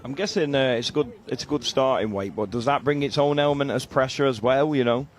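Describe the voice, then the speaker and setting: A man's voice: mid-range voice, fast. A man talking as a pundit in casual studio chat, filling with "you know". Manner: chatty, many fillers.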